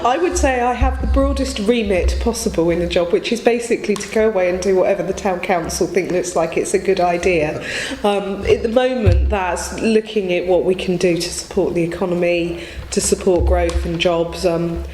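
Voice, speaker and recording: Low-pitched woman in a roomy office. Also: normal speed, a little mellow. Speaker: town coordinator, interview guest. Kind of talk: informal explanatory interview. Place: indoor office. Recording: roomy office with faint noise.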